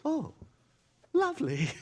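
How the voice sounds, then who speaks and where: A man playing an excitable, bumbling, drug-addled academic in a video game, pompous and stammering in an erudite way. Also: extremely high pitch, slow, heavily acted. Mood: smug, delighted. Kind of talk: video game character acting.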